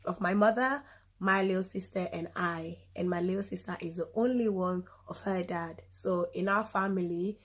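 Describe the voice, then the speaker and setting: A woman's voice: on the low side, fairly even intonation, normal speed. A woman in her twenties with a Cameroonian accent chats casually to camera as a vlogger, informal and given to emphatic repetition, recorded on a phone camera. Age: 20s.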